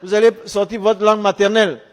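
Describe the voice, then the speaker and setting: A man's voice: sits high, level tone, fast talker. A middle-aged man, not a native French speaker, giving a religious testimony as a story, emphatically and in short repeated phrases. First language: a language other than French, Arabic or English. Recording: long hall reverb.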